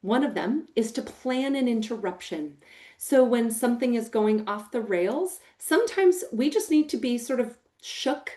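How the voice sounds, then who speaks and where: Middle-aged american woman teaching, big emphatic voice, mellow and warm. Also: a little high, expressive, a little slow. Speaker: program manager giving a training talk. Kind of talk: recorded training talk to foster parents.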